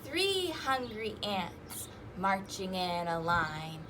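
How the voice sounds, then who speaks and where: A woman hosting a children's storytime, reading rhymes and picture books aloud in an animated, sing-song, exaggerated way. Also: mid-range voice, very slow, noisy background. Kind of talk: interactive children's read-aloud with rhymes and fingerplay.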